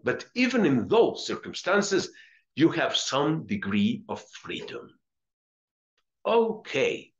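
A middle-aged man from Colombia with a Spanish accent, a friar teaching a religious lesson, reading aloud and explaining deliberately, repeating phrases for emphasis. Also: on the high side, sing-song, dull audio. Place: small indoor room with curtains.

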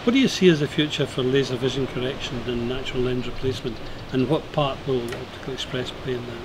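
Older male interviewer, formal delivery, average pitch, noisy outdoor setting. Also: steady tone, quick pace. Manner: formal, polished questioning. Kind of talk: scripted interview questions. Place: outdoor terrace by the sea. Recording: outdoor noise behind voice.

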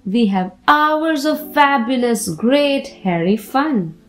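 A woman reads a children's storybook aloud in a fairly high voice, taking her time over each phrase. Her delivery is deliberate and expressive, with music behind her. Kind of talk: expressive picture book read-aloud for children.

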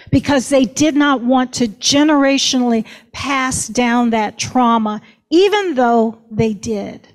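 A woman history professor giving an earnest, emphatic storytelling talk on a panel. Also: a little high.

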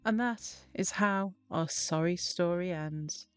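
A woman narrating a children's storybook in a deliberate, expressive way. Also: fairly low pitch, smooth, no room sound, faint music behind. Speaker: storybook reader.

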